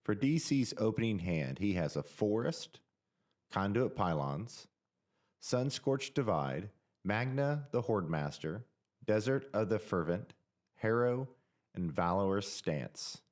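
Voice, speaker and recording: Deep-voiced man reading scripted narration. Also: lively intonation, darkish tone. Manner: measured, reads card text evenly. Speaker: voice-over narrator of deck introductions.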